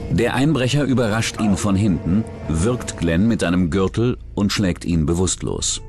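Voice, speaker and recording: A man narrating a scripted true-crime documentary in a measured, matter-of-fact manner. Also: low voice, lively intonation. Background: background music.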